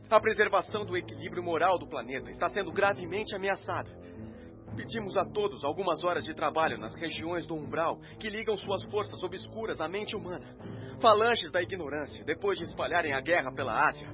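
An actor plays an anxious male announcer sending out a grave appeal over the airwaves in a radio drama. His voice sits fairly high and speaks with solemn, oratorical fervour. The sound is narrow and dull, with music playing loudly behind him. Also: close to an everyday voice. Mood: solemn, urgent appeal. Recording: band-limited radio sound under loud music. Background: loud music.

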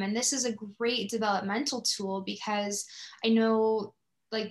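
A woman's voice: medium pitch, a bit bright. A woman hosting a conversational podcast interview, affirming and reflective as she talks.

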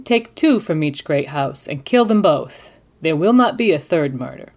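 A woman co-hosting a podcast in a measured, composed and deliberate way, as if presenting prepared material. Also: lowish voice, a little mellow. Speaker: podcast co-host.

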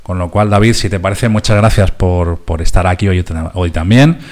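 Low-pitched Spanish man hosting radio. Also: normal speed, a little mellow. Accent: Spanish (Spain). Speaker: host of a finance radio show. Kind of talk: conversational radio talk, wrapping up. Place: radio studio.

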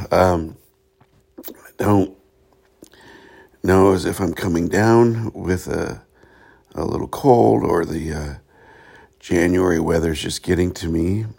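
A hoarse middle-aged man hosting a reflective spiritual talk show, speaking in a halting way with many pauses. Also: low voice, darkish tone. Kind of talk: reflective solo monologue.

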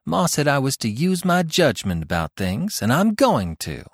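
A man's voice: mid-range voice, wildly swooping pitch, normal speed, gravelly, breathy edge, dry recording, studio quality, voice pushed up, full character voice. A man voicing a bossy, spirited young farm girl who takes charge of the kitchen. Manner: commanding, spirited.

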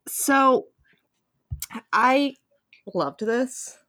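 A woman's voice: sits high, animated, drawn-out words, fairly bright tone. A woman hosting a casual podcast discussion, speaking in an enthusiastic, emphatic and playfully teasing way. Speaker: podcast host.

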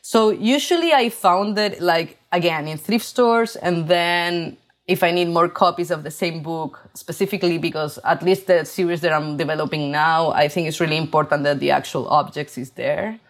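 Chilean-accented woman in her forties, low voice, unhurried halting artist interview. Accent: Chilean Spanish. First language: Spanish. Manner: halting, many fillers and restarts. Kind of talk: interview monologue about her art.

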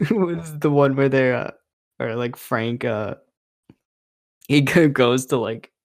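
A man's voice: mid-range voice, takes it slowly. A man in a casual podcast chat with a friend, telling loose, rambling stories full of fillers, laughter and swearing. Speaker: podcast co-host.

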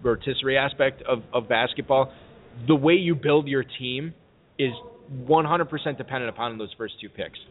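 A man hosting a casual fantasy football podcast live from an event. He talks fast, jokes around and keeps the talk moving with questions. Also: medium pitch. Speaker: podcast host. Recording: phone-like narrow sound.

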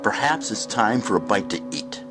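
A man playing a suave, eerie anthology narrator, speaking in a measured, wryly ominous way. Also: fairly low pitch, animated, natural voice. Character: suave eerie narrator in Twilight Zone host style. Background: music.

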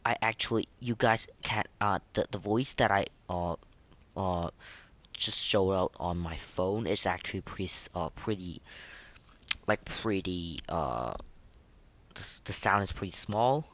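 A man hosting a podcast in casual, slangy, excitable chat, reviewing game voice lines. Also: low voice, measured pace. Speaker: podcast host.

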